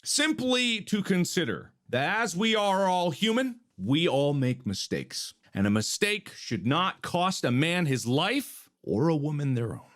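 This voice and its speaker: A man's voice: a little high, sing-song, measured pace, hardly any pauses, a bit bright. Man playing a grandiose, theatrical defense lawyer. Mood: solemn, earnest. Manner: theatrical, solemn, pompous. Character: grandiose male defense lawyer pleading to a jury. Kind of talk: mock courtroom oration.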